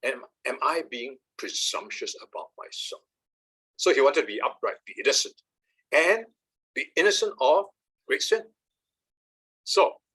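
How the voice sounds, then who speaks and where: An older man from Malaysia speaks with a husky, bright tone. His pitch rises and falls a lot as he talks. He gives an emphatic talk about meditation, often pausing on "uh" and asking "all right?". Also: rough recording. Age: 70s. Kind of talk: talk with slides.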